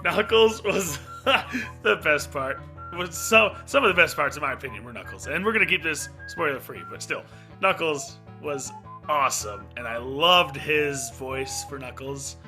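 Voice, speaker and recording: The keen guy on a movie podcast, his very bright, cutting voice riding over background guitar music. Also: a little high, expressive, measured pace. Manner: enthusiastic. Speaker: podcast co-host reviewing a movie. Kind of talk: casual chat review. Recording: music behind the voice.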